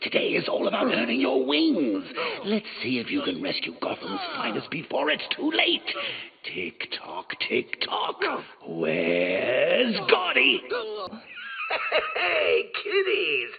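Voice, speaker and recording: A man's voice: fairly high pitch, vocal fry, phone-quality sound, heavily acted. A man voicing a manic, mocking male clown villain in a video game, cackling and swinging his delivery wildly. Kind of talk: game voice lines.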